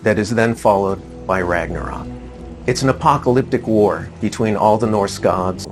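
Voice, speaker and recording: Dude game developer being interviewed, lowish voice, music clearly behind. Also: male voice, normal speed. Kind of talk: promotional interview.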